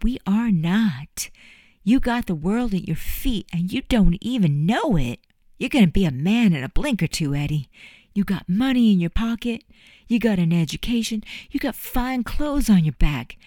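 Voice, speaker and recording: A woman's voice: mid-range voice, animated, moderate pace, dark tone, no echo, pitched above the performer's own voice, natural voice. A woman voicing a proud young servant woman who speaks in folksy dialect, in an audiobook. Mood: bitter. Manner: impassioned, folksy dialect speech. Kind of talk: audiobook character voice.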